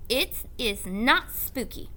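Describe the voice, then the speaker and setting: Storybook old lady librarian, put on by a female reader, proud, genteel, unhurried, high bright voice. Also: very animated, slight background noise, exaggerated voice.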